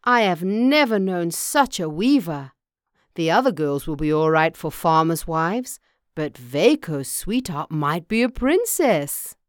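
An old country farmer from a storybook, put on by a woman narrator. He is a gentle father who delivers his judgments with emphasis. The delivery is slow and strongly varied in pitch. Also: big pitch swings, no room sound, studio quality. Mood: amazed. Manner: emphatic, measured pronouncements. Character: gentle old male farmer, judging and easily amazed father. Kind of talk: storybook character voice.

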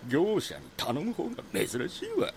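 An actor playing a blunt, casual local householder with a Kansai accent in an audio drama. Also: male voice, high voice, huge pitch swings, measured pace, slight background noise, exaggerated voice. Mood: brusque. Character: blunt local man, brusque, matter-of-fact householder. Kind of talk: Japanese audio drama dialogue.

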